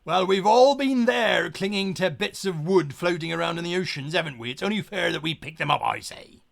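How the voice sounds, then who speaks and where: A man's voice: high-pitched, expressive, brisk, creaky, a bit bright, full character voice. A man voicing a rescued shipwrecked merchant who stammers and repeats his words. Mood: jovial.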